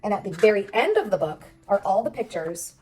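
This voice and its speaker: A woman reading a children's storybook aloud as narrator, expressive and addressing young listeners. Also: medium pitch, fairly quick, far from the mic, low-quality recording. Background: background music.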